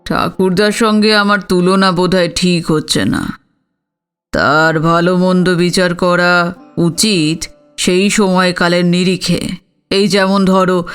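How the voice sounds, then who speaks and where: Dignified older village landowner, male actor, proud, reflective, high-pitched and unhurried, for an audio story. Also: fairly bright tone, smooth. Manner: measured, deliberate, explanatory. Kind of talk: Bengali audio story acting.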